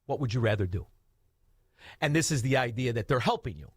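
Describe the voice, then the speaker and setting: A man, hosting talk radio in a combative, mocking rant with shouted outbursts. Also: medium pitch, lively intonation, brisk, slightly rough, dry recording, clean studio sound. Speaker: radio talk show host.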